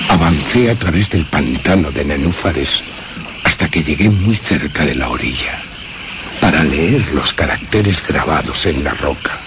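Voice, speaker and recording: An actor playing a haunted narrator of a dark gothic fable, grave and brooding in radio horror style. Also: male voice, extremely low pitch, noisy background.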